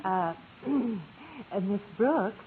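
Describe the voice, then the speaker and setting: A woman's voice: medium pitch, very slow, slight background noise. An actress playing a cheerful, meddling matchmaker woman in a 1950s radio sitcom. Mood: coaxing. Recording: narrow, tinny old radio recording.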